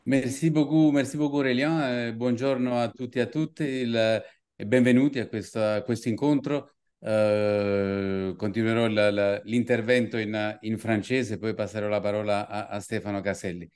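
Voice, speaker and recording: A middle-aged man from southern Italy with an Italian accent, a native Italian speaker, giving a formal welcome speech with halting, repeated phrases. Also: fairly low pitch, steady tone, darkish tone, clear, resonant tone. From Capri, southern Italy. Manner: halting, with frequent repetitions. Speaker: business school director general giving an opening address.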